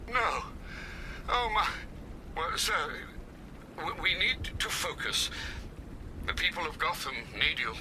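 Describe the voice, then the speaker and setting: An actor playing a loyal, elderly British butler in a video game, formal and deferential with a pleading, anxious manner. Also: male voice, on the high side, sing-song, slow, pauses often, slight background noise, rough recording. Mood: shocked, concerned. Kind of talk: video game cutscene acting.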